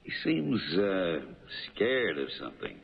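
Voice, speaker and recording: An actor playing a jovial, shrewd police lieutenant in a 1970s radio drama. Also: male voice, low voice, huge pitch swings, unhurried, creaky, husky, exaggerated voice. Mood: probing. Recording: tinny, noisy 1970s radio broadcast.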